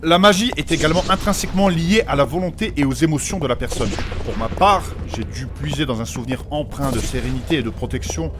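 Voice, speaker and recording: A man's voice: fairly high pitch, fast talker, few pauses, noisy background, lightly acted. A man playing a learned, courteous mage in a fantasy audio comedy, speaking formally and precisely as he explains things in an earnest, pedantic way. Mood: earnest, scholarly. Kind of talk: French humorous fantasy audio fiction acting. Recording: music behind the voice.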